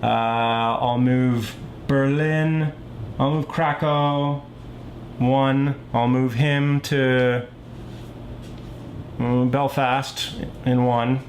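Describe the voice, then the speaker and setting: A man's voice: mid-range voice, fairly even intonation, extremely slow, long pauses. Man explaining casually over background noise. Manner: step-by-step, thinking aloud with check-in "okay?". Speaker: board game designer demonstrating his game.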